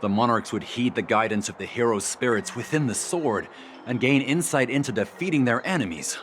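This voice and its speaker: An actor voicing a skeptical adult man in a game cutscene, who explains legends in an animated way. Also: medium pitch, fairly quick, a little hiss or hum, lightly acted. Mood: explaining. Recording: game audio with clear music behind.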